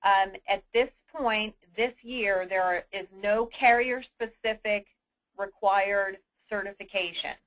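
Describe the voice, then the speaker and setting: A woman hosting a conference call briefing, answering submitted questions in an explanatory, businesslike and somewhat halting manner. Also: medium pitch, fairly even intonation, a bit bright, rough recording. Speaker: host of an insurance agents' conference call. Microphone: telephone conference line.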